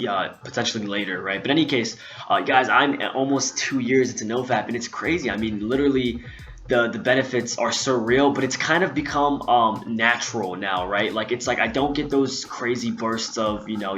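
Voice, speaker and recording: A man's voice: fairly low pitch, fairly bright tone. A young man talking casually to his laptop at home, rambling with lots of fillers, a little out of breath. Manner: rambling, filler-heavy, chatty. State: slightly out of breath. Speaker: vlogger. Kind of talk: casual talk to camera. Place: home room, indoors. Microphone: laptop built-in mic.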